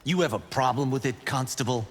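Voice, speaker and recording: A man's voice: mid-range voice, fairly quick, natural voice. An actor playing a confident, touchy young male fighter in a video game, speaking boastfully and directly. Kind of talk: video game character dialogue. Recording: long hall reverb, faint noise.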